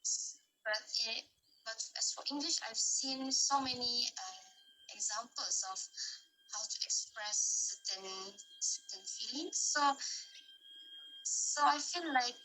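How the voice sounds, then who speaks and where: Slow, bright-voiced hesitant woman. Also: fairly high pitch, slight background noise, low-quality recording. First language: Dusun. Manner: hesitant, searching for words, restarts. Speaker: interviewee. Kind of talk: answering interview questions.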